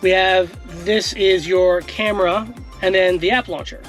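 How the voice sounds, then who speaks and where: A man's voice: high voice, unhurried, faint music behind. A man in his forties, a tech vlogger, casually walking through a car's screen from inside a parked car, with lots of fillers. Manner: chatty, relaxed, filler-heavy. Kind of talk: casual demo walkthrough. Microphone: action camera in car.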